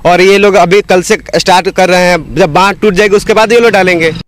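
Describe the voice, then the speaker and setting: A man's voice: sits high, fairly even intonation, fairly quick. A man, a villager, speaking critically in an on-site interview. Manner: critical, complaining. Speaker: local villager.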